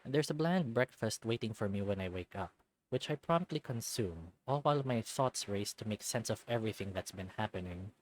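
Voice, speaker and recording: A man's voice: on the low side, lively intonation, moderate pace, low-quality recording. A man reading a visual novel aloud as dramatic narration for a let's play. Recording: faint music behind. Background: soft music.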